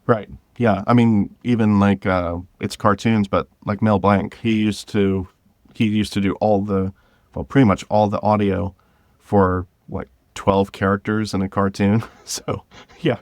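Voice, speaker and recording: A man speaking as a podcast guest in a casual interview, rambling and halting but enthusiastic as he explains things. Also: lowish voice, level tone, dry recording, studio quality. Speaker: podcast guest, audio drama creator.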